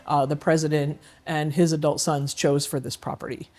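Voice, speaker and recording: A man guiding a tour of a historic house, explaining slowly with many fillers and restarts. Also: fairly high pitch, flat delivery, darkish tone. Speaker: historic site guide. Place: indoors in a historic house, dining room.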